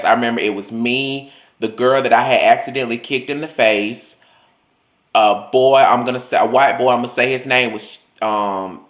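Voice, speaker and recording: A young man telling personal stories in a loose, chatty way, his voice swinging higher and lower as he talks. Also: fairly bright tone, roomy sound. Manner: chatty, loose, full of "like" and swearing. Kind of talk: casual storytelling monologue.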